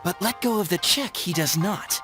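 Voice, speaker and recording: Poised storyteller over loud music. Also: female voice, lowish voice, lively intonation. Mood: dramatic suspense. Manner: theatrical, deliberate, ornate wording. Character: poised, wry storyteller relishing suspense. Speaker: storyteller narrating the scene. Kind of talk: dramatic scripted narration.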